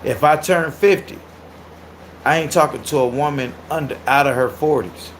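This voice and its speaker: Guy in his 30s on a livestream, loud and crude, laughs a lot, kinda high voice. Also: normal speed, a little hiss or hum. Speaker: livestream host. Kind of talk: casual livestream chat, reacting to viewer comments.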